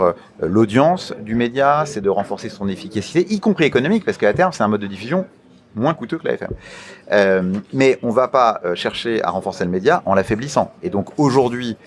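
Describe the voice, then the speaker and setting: A man giving formal interview answers as an official, in a deliberate, halting manner with emphatic repetition of key phrases. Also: lowish voice. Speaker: interview guest, media regulator official.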